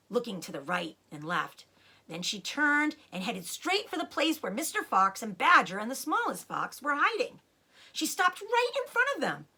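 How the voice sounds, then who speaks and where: A woman reading a children's book aloud in an expressive, dramatic way, with short asides about the pictures. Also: on the high side, gravelly, husky. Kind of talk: animated storybook read-aloud with picture asides.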